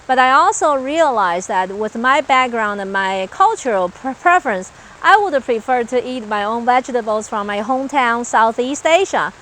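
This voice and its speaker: A woman's voice: fairly high pitch, lively intonation, moderate pace, fairly bright tone, slight background noise, rough recording. A woman from Taiwan with a Taiwanese accent, an agricultural researcher explaining her farm work in an earnest, list-like way with some hesitations, speaking outdoors on a farm. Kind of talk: explanatory interview talk.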